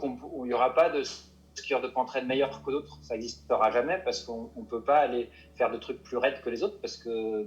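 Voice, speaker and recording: A mountain guide answering interview questions, hesitant and full of restarts and fillers. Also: male voice, medium pitch, highs cut off. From Paris suburbs.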